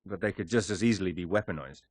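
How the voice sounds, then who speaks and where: An actor playing a cool British secret agent in a video game, terse, dry-witted and commanding. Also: male voice, low voice, fast, no echo. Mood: businesslike. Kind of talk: video game cutscene acting.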